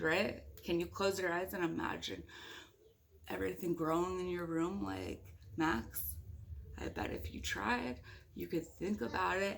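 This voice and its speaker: A woman's voice: mid-range voice, creaky, slight background noise. A woman reading a picture book aloud in a playful, chatty way, with casual asides to young children. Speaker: storybook reader.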